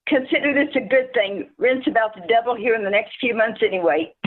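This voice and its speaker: A woman's voice: on the high side, fairly quick, phone-quality sound, natural voice. A woman playing a greedy, officious property manager in an amateur radio play, smug and falsely sympathetic. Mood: mock-sympathetic.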